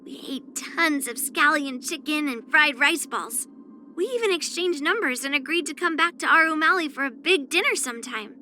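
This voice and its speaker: Game casting: young swordswoman, high bright girlish voice, blunt, proud, earnest with a touch of pout. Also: animated, normal speed, soft background music. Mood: matter-of-fact, confident. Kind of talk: character voice lines for an anime-style video game.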